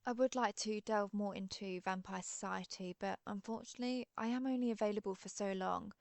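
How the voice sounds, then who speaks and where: Creaky, genteel vampire lady, acted by a woman. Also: mid-range voice, fairly even intonation, a little fast, dry recording, lightly acted. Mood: gracious, friendly. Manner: genteel, conversational, apologetic, gently wry. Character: friendly, chatty, polite female vampire, centuries old, mildly exasperated. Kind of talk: scripted roleplay audio, one side of an interview.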